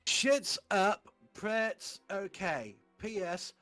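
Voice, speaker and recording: Man reading fiction aloud, lively pitch. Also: drawn-out words, faint music behind. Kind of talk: comic read-aloud and casual banter.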